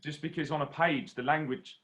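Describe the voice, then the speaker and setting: A man's voice: a little high, steady tone, moderate pace, fairly bright tone. A young man from southern England, a singer in an online masterclass, speaking in an articulate, considered way with hesitations over a video call. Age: young adult.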